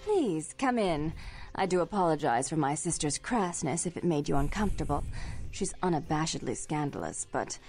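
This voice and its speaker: A prim, imperious vampire proprietress, pitched on the low side. Her speech is formal and full of disdain, like a video game aristocrat, with music underneath. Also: animated, normal speed, a little mellow. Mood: coolly polite. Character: haughty, prim female vampire nightclub owner.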